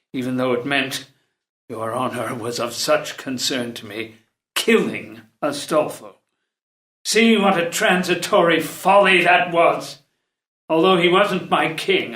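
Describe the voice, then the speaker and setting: Actor as slow-spoken dignified courtier, echoey room. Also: male voice, a little high, sharp tone. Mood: grave, reflective. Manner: deliberate, formal. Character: dignified older male courtier, protective and honor-bound. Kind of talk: unrehearsed stage play reading. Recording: roomy echo.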